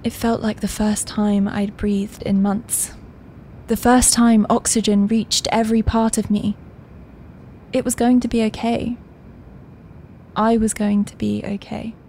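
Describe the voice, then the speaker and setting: An actress playing an earnest young shop assistant in an audio drama, confiding her story in a hushed, reflective way. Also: medium pitch, level tone, unhurried, pauses often, slight background noise, natural voice. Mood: moved, reflective. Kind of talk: intimate first-person audio drama monologue.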